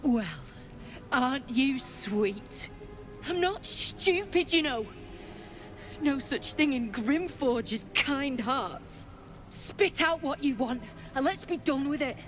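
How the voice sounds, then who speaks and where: A woman's voice: sits high, animated, slow, a bit bright, faint music behind, full character voice. A woman playing a defiant, foul-mouthed gnome freedom fighter in a video game, blunt, combative and cynical. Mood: guarded, sardonic.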